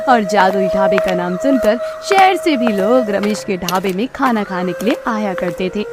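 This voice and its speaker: A woman's voice: mid-range voice, heavy background noise. A woman reading scripted narration for a children's moral story as the storyteller.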